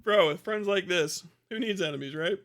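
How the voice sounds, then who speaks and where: A man's voice: high-pitched, moderate pace, fairly bright tone, no room sound, clean studio sound. A man live streaming, chatting casually with slangy, profane, amused and irreverent reactions.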